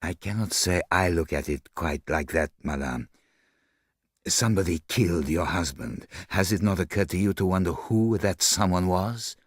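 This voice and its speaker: A man voicing a courteous, self-assured detective in an audiobook, formal and deliberate, with a French accent. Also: deep voice, no room sound, clean studio sound. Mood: polite, dry.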